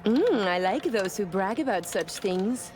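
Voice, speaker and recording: An actress playing a haughty, flirtatious, sharp-tongued socialite with a French accent in a video game. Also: female voice, medium pitch, expressive, a little slow, faint music behind, close to an everyday voice. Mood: teasing. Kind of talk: video game cutscene acting.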